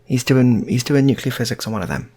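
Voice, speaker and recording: A man's voice: medium pitch, expressive, a little fast, warm tone. A man telling an improvised story in a casual, rambling way, often repeating his phrases. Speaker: storyteller, channel host.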